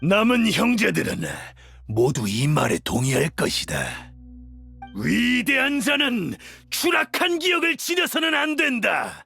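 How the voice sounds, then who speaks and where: An actor playing a proud, fanatical desert cult commander in a game, with grandiose, archaic, commanding speech. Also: male voice, sits high, wildly swooping pitch, measured pace, gravelly, bright, forceful tone, breathy edge, soft background music, heavily acted. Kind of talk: archaic, theatrical game villain acting.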